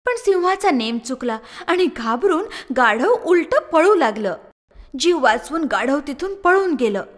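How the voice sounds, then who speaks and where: A woman narrating a scripted story for children in an expressive storytelling style. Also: high voice, measured pace, big-room sound.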